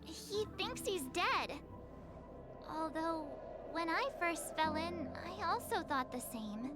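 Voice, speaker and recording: Bubbly teen anime girl from a game, super high perky voice, music playing under it. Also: lively intonation, slow, exaggerated voice. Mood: perky, indignant. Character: bubbly, plucky teenage girl adventurer. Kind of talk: anime-style video game voice acting.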